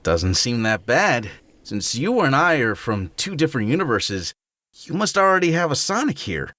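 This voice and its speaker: A man's voice: medium pitch, big pitch swings, moderate pace, sharp tone, no echo. A man playing a laid-back, cocky young cartoon hedgehog hero in an audio drama, talking in casual slang. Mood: breezy, curious. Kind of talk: fan-made audio drama acting.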